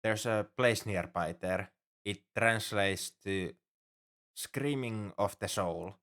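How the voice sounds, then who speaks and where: A man's voice: lowish voice, slow, fairly bright tone. A man playing an anxious, caring alien crewmate on a spaceship in an ASMR roleplay, speaking earnestly and hesitantly with slightly formal phrasing. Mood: friendly, hopeful. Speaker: ship crewmate tending a sick human.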